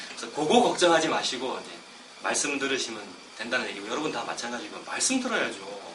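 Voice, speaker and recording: Middle-aged male pastor, sermon with a cold, chatty asides, distant mic, echoey church, steady background noise. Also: fairly high pitch. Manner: conversational, self-deprecating asides between slow scripture reading. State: has a cold, coughing. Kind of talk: expository sermon. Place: church sanctuary.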